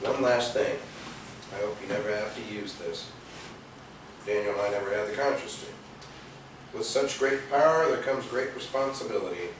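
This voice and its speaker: An actor on stage playing a hot-headed rebel in a gang, with a theatrical delivery. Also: male voice, lowish voice, normal speed, long pauses, close to an everyday voice. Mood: solemn reading. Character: hot-headed male rebel gang member, itching to attack. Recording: distant, echoey theater videotape with noise.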